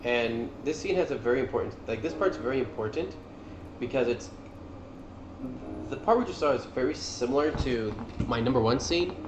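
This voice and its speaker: A man's voice: medium pitch, animated, normal speed, background noise. A man giving casual, informal commentary over game cutscenes, rambling as he goes with some mild swearing. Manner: informal, rambling, mildly profane. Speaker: gaming video host. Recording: roomy echo, game music behind.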